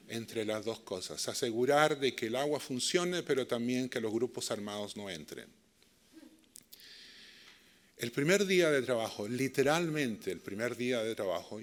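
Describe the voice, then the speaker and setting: A middle-aged man with a Chilean accent speaks to a live audience in a master class. He talks in an anecdotal way and turns to his listeners with direct questions. His voice sits at a medium pitch. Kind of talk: storytelling lecture to a live audience.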